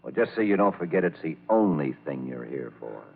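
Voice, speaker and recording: A menacing nightclub boss from an old radio crime drama, extremely low and gravelly. He is blunt, bossy and jealous, on a tinny, narrow vintage recording. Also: big pitch swings, brisk, a little hiss or hum, heavily acted. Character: gruff, jealous, threatening nightclub owner and husband.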